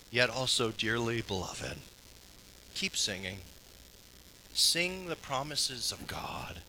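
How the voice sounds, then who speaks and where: A man preaches from the pulpit in an earnest sermon style, his voice very bright and cutting and sitting a little high. He swings between strong, raised passages and low, hushed ones, and closes in prayer. A faint room ambience sits behind him. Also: slight background noise, rough recording. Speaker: pastor.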